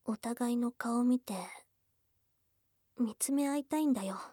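A high, girlish goblin sweetheart, played by a woman for an ASMR voice drama. She speaks slowly and very close in a dry, intimate space. Her words are blunt and tomboyish, yet she sounds bashful, flustered and affectionate, often softening into breathy murmurs. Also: no room sound. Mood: drowsy, affectionate. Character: shy, tsundere young goblin girlfriend, bashful and affectionate. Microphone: close ASMR microphone.

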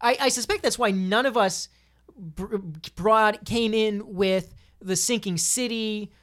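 A woman's voice: medium pitch, slow pace. A woman on a podcast panel, talking casually and giving her opinions at length.

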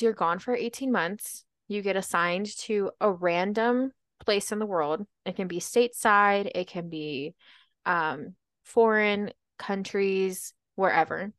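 A woman's voice: mid-range voice, smooth, no echo, studio quality. A young woman from Baltimore co-hosting a podcast, telling stories in a chatty, upbeat way. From Baltimore, Maryland. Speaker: podcast co-host. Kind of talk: casual chat.

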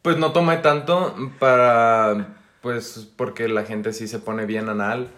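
A man's voice: mid-range voice, steady tone, slow, a bit bright. Young northern Mexican man, loose slangy podcast banter, mid voice, roomy echo. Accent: northern Mexican Spanish. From Mexico, Sinaloa. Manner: slangy, laughing, trailing restarts. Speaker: podcast co-host. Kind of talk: casual chat among friends. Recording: echoey room.

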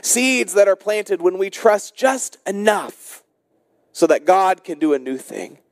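High-pitched American man preaching a sermon. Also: sing-song, gravelly, no echo. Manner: deliberate, rhetorical repetition in short phrases. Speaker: senior pastor.

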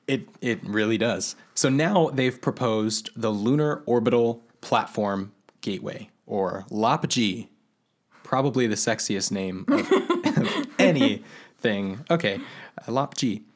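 A man's voice: lowish voice, very animated, takes it slowly. A man co-hosting a casual podcast, breaking down space news in plain everyday terms. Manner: explains space news in plain terms, then friendly sign-off. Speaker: podcast co-host. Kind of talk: casual science podcast chat.

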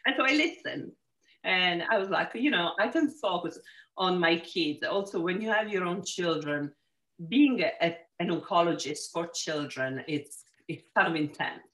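A woman with an Italian accent and a somewhat low voice tells her story in a relaxed interview. She often restarts her sentences while she talks. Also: normal speed, low-quality recording. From Sicily, Italy. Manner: warm storytelling with frequent restarts. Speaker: real estate agent, guest. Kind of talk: casual interview chat.

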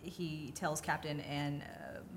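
A woman with a distinctly low voice talks as a podcast co-host. She retells film scenes casually and with enthusiasm. There is faint noise behind her. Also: little pitch movement, slow.

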